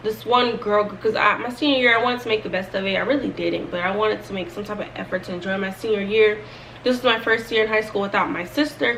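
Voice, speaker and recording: Young woman, early 20s, casual storytime vlogger, chatty and rambling, gravelly fry, slightly distant mic. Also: a little high, fairly bright tone, a little hiss or hum. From Florida, United States. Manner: chatty, rambling, with many fillers and restarts.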